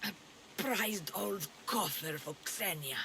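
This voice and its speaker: A woman playing a battered, bitter sailor in a video game, speaking wearily and gruffly. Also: mid-range voice, animated, takes it slowly, sharp tone, a little hiss or hum, full character voice. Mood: grim. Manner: weary, gruff. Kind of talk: video game cutscene acting.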